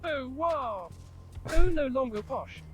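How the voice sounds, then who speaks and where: A woman's voice: high-pitched, lively intonation, slow pace. A synthetic woman's voice reading out casual chat messages. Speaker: text-to-speech chat reader. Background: game music.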